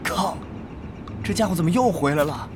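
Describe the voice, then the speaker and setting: An actor playing an earnest, easily flustered guild leader in an online game, stammering and exasperated, in a Chinese radio drama. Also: male voice, high-pitched, lively intonation, normal speed, background noise. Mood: alarmed, exasperated.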